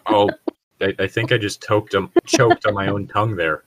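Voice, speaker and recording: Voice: deep voice, steady tone, moderate pace, no room sound. A person chatting casually and reading fanfiction aloud for laughs, with a sweary, teasing manner. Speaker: co-host of a group fanfiction reading. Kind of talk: casual chat and comedic read-aloud.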